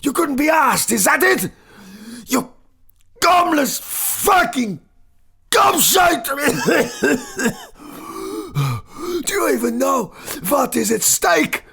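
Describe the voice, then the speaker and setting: A man's voice: a little high, wildly swooping pitch, drawn-out words, gravelly, long pauses, husky, voice pushed up, full character voice. A man voicing a volatile, furious older male professor who rants and curses at the top of his voice. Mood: enraged. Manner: ranting, cursing, shouting.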